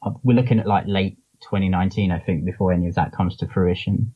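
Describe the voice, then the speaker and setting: Low-pitched man, dull lo-fi line. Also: fairly even intonation, quick pace, husky. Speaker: podcast guest, comics charity founder and publisher. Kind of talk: casual interview chat.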